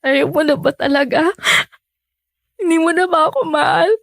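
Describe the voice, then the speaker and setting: A woman's voice: high voice, fairly even intonation, normal speed, creaky, dry recording. A woman playing a lovelorn, stubborn young mistress in a Tagalog radio drama, speaking in an insistent, pleading way.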